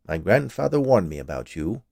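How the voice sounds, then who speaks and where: A man's voice: sits low, sing-song, brisk, creaky, darkish tone, no room sound, clean studio sound, heavily acted. A man voicing a polite, wily little inspector in a storybook tale. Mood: coolly smug.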